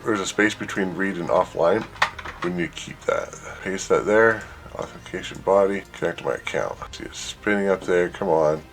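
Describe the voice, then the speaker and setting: A man presenting an informal software tutorial, thinking aloud as he works through each step. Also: deep voice, moderate pace. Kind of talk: casual screen-share walkthrough.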